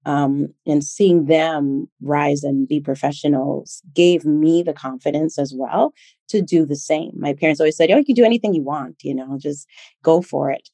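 Low, mellow-voiced woman telling her story. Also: moderate pace, no echo. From Liberia, raised in Minnesota. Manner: reflective, many ums. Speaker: teacher and consultant, guest. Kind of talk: interview storytelling.

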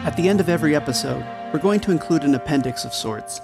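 Earnest man, fairly high voice, scripted announcement. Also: lively intonation, fast, gravelly, over music. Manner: earnest, supportive. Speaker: podcast cast member and announcer. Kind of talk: scripted outro message.

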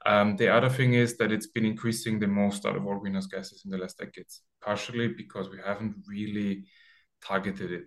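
Low-voiced founder from Austria. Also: male voice, flat delivery, brisk. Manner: explains step by step, with many ums. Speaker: startup founder, guest. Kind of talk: casual interview talk. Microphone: remote call.

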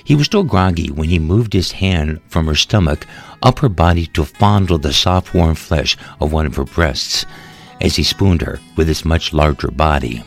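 A man's voice: very deep voice, normal speed, gravelly. A man reading a scripted story as a dramatic narrator. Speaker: author narrating own story. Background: music.

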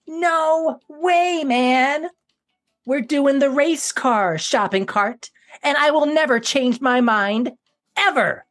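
A woman voicing an excitable, childish young male monkey in a children's cartoon, giddy and whiny. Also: high-pitched, takes it slowly, soft background music. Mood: stubborn. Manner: giddy, whiny, easily distracted.

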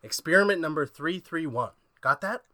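An actor playing an irascible, egomaniacal chemist in old-style horror radio drama, imperious and melodramatic. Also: male voice, fairly high pitch, expressive, moderate pace, sharp tone, no room sound. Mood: stern, clipped.

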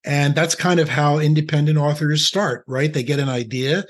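A man's voice: on the high side, steady tone, moderate pace. A man from New Jersey hosting a podcast interview, friendly and joking, asking long, rambling questions. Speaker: podcast host.

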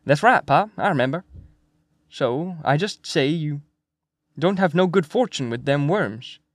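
A man's voice: fairly high pitch, lively intonation, measured pace, no room sound. A man voicing a sly, toothless, fussy old Swedish fisherman in an audiobook, sentences rising like questions. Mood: wary advice. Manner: questioning rise at sentence ends. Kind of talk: acted audiobook character dialogue.

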